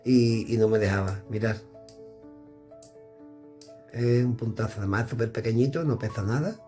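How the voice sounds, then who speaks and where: Mellow-voiced man demoing radio gear. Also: mid-range voice, moderate pace, soft background music. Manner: chatty, thinking aloud while fiddling with devices. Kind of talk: casual product demonstration. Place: indoors.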